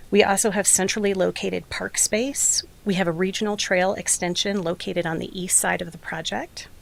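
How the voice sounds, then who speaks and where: A woman with a slightly hoarse voice giving a prepared, formal presentation as a development applicant at a public meeting. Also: fairly low pitch.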